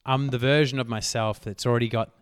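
A man hosting a solo motivational podcast, talking emphatically and swearing casually, his voice worn hoarse. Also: medium pitch, steady tone, fast, no room sound, studio quality. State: losing his voice. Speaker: podcast host.

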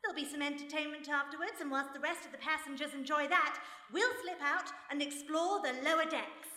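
A woman playing a brisk, composed, witty lady detective in a live comedy radio mystery, speaking in an articulate, dryly proper way. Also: sits high, fairly even intonation, bright, forceful tone. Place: stage in a hall before a live audience. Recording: echoey hall, live stage recording.

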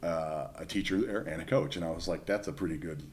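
A young man chatting casually as a podcast guest, telling stories in a relaxed, rambling way. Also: low-pitched, fairly quick, slight background noise. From Northern California. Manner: chatty storyteller. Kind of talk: casual chat.

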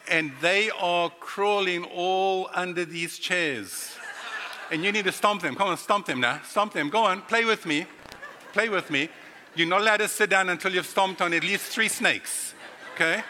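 Bright-voiced preacher, hall echo. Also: male voice, sits high, moderate pace, slight background noise. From South Africa. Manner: playful, talks directly to the congregation. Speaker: pastor. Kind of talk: sermon. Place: church sanctuary.

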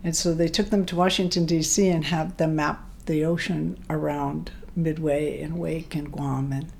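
A woman in her eighties from Hawaii with an American accent, recalling her life as an interview guest in an unhurried, hesitant way. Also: deep voice, steady tone, darkish tone. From Hawaii, United States. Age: 80s. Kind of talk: unscripted reminiscence. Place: hotel room. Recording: clear background noise, room ambience.

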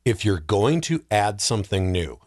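Guy on a movie podcast, really lively up-and-down delivery, casual, does little mock reactions. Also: lowish voice, moderate pace. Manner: animated, mock-quotes imagined reactions. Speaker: podcast panelist discussing films.

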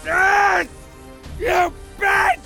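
A man doing a brash space pirate, very high strained voice, gravelly edge, lively swings, defiant yelling, music and engine noise. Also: drawn-out words, exaggerated voice. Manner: defiant, shouting and cursing.

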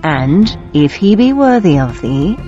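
A woman playing an imperious, measured queen consort in an audio drama, with regal, formal diction. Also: low-pitched, sing-song, warm tone, dull audio, soft background music. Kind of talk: audio drama acting of an archaic tale.

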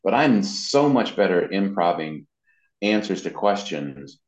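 Deep voiced guy chatting as a guest, kinda rambly, echoey muffled sound. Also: very animated, measured pace. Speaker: interview guest, voice actor and web designer. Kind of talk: casual interview talk. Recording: dull, roomy, lo-fi sound.

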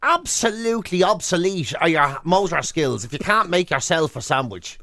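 Animated Irish man, higher-pitched voice. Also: normal speed, dry recording, clean studio sound. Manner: incredulous, exaggerated emphasis, repeats phrases for effect. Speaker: radio show co-host. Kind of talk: comic banter, casual radio chat. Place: radio studio.

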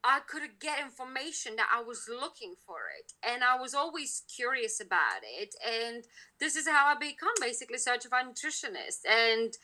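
Lithuanian accent woman, super bright voice, chatty guest, lots of "like, you know". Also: fairly high pitch, expressive, normal speed. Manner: chatty, many fillers and restarts. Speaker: podcast guest, nutritionist. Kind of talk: casual interview talk.

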